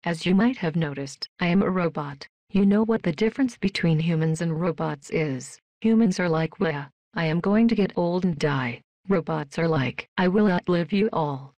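A woman voicing a polite, emotionless female robot worker in a video game, with flat, stilted, deadpan delivery. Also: lowish voice, normal speed, hardly any pauses, no room sound, highs cut off. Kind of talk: scripted video game voice lines.

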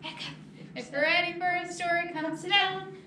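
A woman's voice: very high voice, fairly even intonation, normal speed, bright, forceful tone. A woman presenting a children's storytime, leading young children in a singsong action rhyme. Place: small children's classroom indoors. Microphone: distant camera microphone. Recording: echoey, noisy room, far from mic.

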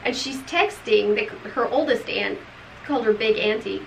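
A woman's voice: fairly high pitch, normal speed, vocal fry, slight background noise, poor audio. A middle-aged woman co-hosting a book club video, talking casually about a novel she read, rambling and laughing often. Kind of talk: casual book review chat. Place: home room with bookshelves.

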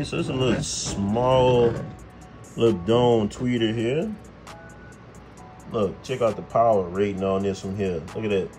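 An American man giving a casual hands-on how-to, folksy and thinking aloud as he works. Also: fairly low pitch. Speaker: host, car mechanic. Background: music.

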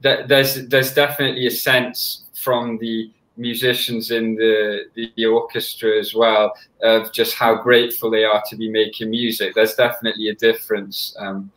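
Welsh man, flat even voice. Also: on the low side, moderate pace, hardly any pauses, echoey room, low-quality recording. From Wales. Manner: soft-spoken, many fillers and restarts. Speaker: orchestra conductor, guest. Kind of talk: casual interview chat. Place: small room at home. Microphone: headset on a video call.

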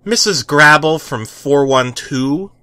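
Man playing a flustered, high-pitched timid sidekick. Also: slow pace, pushed and bright, dry recording, clean studio sound. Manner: flustered, stammering, apologetic. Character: timid, exasperated male superhero sidekick.

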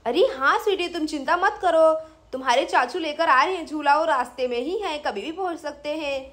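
A doting village mother, acted by a woman, talks in a very high voice. She is cheerful, chatty and encouraging, in the manner of a children's doll tale. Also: brisk, fairly bright tone.

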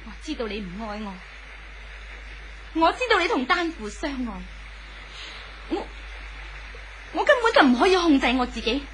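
A bitter, possessive wife in an old radio drama. Her Hong Kong Cantonese voice is high and brittle, swinging widely with emotion. Faint hiss sits behind her. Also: big pitch swings, moderate pace, long pauses, a bit bright, poor audio. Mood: bitter, despairing. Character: spurned, jealous, bitterly despairing wife, volatile and possessive.

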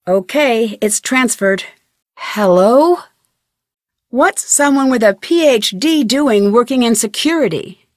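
A woman playing a young, inquisitive and determined female amateur detective in a video game, speaking her scripted lines clearly. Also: a little high, dry recording, clean studio sound. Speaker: voice actress in a detective adventure game.

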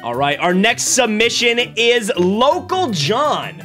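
A man's voice: high voice, big pitch swings, takes it slowly, a bit bright, faint music behind. A man in his twenties hosting a casual reaction stream, joking and laughing often, on a close desk mic at home. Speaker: streamer host. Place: home streaming room, indoors. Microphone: desk boom mic close up.

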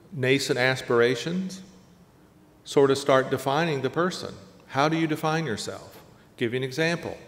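A man in his sixties giving a conversational keynote lecture from a lectern on a stage, listing examples in short phrases. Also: mid-range voice. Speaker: keynote speaker. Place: large dark auditorium stage at a lectern. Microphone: lectern microphone. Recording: reverberant auditorium sound.

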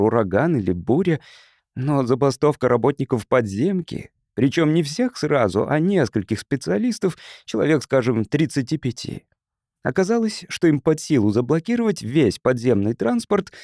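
Man narrating an audiobook, lively intonation. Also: medium pitch, no room sound, studio quality. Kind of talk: scripted literary narration, reading a science fiction story.

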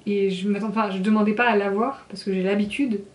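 A young woman talks to camera in an informal, confiding way. She speaks quickly and with little rise or fall in pitch, sounding congested and nasal. The small bathroom adds a clear echo around her voice. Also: fairly even intonation. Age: 20s. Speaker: vlogger. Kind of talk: casual chat to camera. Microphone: camera at arm's length. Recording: echoey tiled bathroom.

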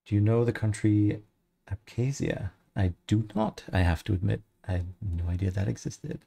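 Man, 30s, mixed Australian-Irish-American accent, gravelly, mellow tone, casual livestream chat. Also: lowish voice, moderate pace. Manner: relaxed, rambling, answers chat questions. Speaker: livestreamer, 3D artist. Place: home studio, indoors. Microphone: headset.

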